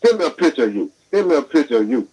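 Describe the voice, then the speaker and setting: A man's voice: a little high, very animated, rapid-fire, mellow, breathy edge. A man with a Southern accent talking over a bad phone line, rambling and defensive in slangy speech. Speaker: caller. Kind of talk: phone call on air.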